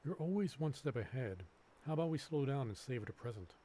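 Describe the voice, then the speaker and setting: A man's voice: medium pitch, lively intonation, fast, mellow, a little hiss or hum. A man streaming a video game, reading dialogue aloud and adding casual commentary.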